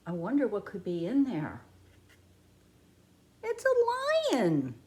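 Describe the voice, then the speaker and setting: A woman reads a picture book to preschoolers in a fairly high voice. Her delivery is slow and very animated, with the exaggerated wonder of a teacher at storytime. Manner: expressive, exaggerated wonder for small children. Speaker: preschool teacher reading to class. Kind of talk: children's picture book read-aloud.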